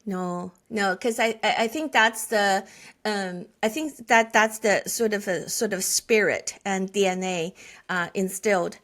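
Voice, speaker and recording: A woman's voice: medium pitch, a little slow, fairly bright tone. A woman in her forties from China with a Chinese accent, a guest telling her life story in an interview, earnest and full of restarts. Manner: frequent restarts and filler words, earnest storytelling. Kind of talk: conversational interview.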